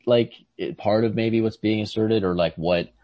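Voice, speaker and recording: A man co-hosts a casual literary discussion, phrasing his thoughts in a halting, tentative way. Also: lowish voice, steady tone, mellow, muffled recording. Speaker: podcast co-host.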